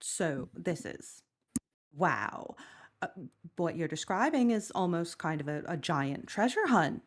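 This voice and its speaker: A woman's voice: fairly low pitch, big pitch swings, takes it slowly, full character voice. A woman playing a curious, mildly amazed listener who hesitates and thinks aloud in casual conversation. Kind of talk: acted casual conversation in a table read.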